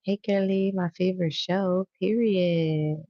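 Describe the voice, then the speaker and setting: A woman's voice: on the low side, fairly even intonation, slow pace, dry recording, muffled recording. A woman hosting a spiritual tarot livestream, chatting casually and slangily straight to her viewers. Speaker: tarot reader and livestream host.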